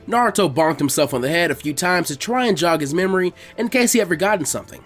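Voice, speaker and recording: A man's voice: sits high, expressive, quick pace, fairly bright tone, soft background music. A man narrating a story from a script. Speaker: narrator of fan fiction readings.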